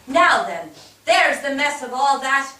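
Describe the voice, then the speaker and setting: Lady acting as stern afterlife judge, high lively voice, scolding and probing, far from mic in echoey theatre. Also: slow pace, a little hiss or hum, full character voice. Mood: reproachful. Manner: probing, reproachful. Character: stern afterlife judge reviewing a dead man's life. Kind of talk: staged reading of a period drama. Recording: echoey theatre, distant microphone.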